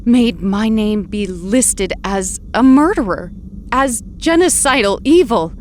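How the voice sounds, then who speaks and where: An actress playing an anguished but determined heroine in an audio drama, with an emotional, pleading delivery. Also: female voice, fairly high pitch, lively intonation, takes it slowly, slight background noise. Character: anguished, determined heroine back from presumed death.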